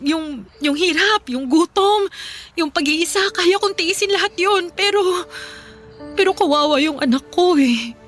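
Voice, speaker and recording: An actress playing a remorseful, middle-aged Filipino mother in a radio drama, pleading tearfully and haltingly. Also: extremely high pitch, animated, a bit bright, soft background music, full character voice. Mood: ashamed, pleading. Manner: tearful, halting, repeating herself.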